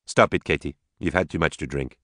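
A man reads the part of a stern, controlled mother in a novel. The voice is low and close, with the measured restraint of a judge. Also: no room sound, natural voice. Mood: cold, hard. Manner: cold, hard, controlled.

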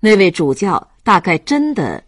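A man's voice: sits high, huge pitch swings, unhurried, fairly bright tone, dry recording, studio quality. A man reading scripted literary narration as an audiobook narrator.

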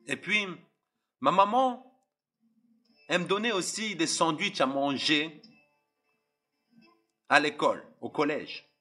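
A young man from Algeria delivers a fervent testimony, circling back on his phrases and addressing the listener head-on. His voice sits somewhat high, with a tense, husky edge. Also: takes it slowly, long pauses, pushed and bright, faint music behind. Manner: impassioned, repetitive, addresses listeners directly. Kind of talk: preaching testimony.

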